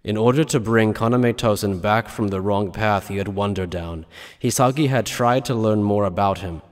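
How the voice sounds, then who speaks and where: A man's voice: on the low side, moderate pace, in a large hall. A man reading scripted dramatic narration for an anime fan dub.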